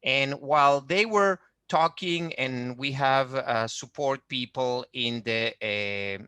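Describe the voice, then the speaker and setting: Venezuelan-accented male lecturer, slow, bright and dry, plenty of uhs and ums. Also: medium pitch, clean tone, no echo. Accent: Venezuelan Spanish. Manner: hesitant, many fillers, friendly explaining. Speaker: genealogist presenting a webinar. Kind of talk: online lecture with shared screen.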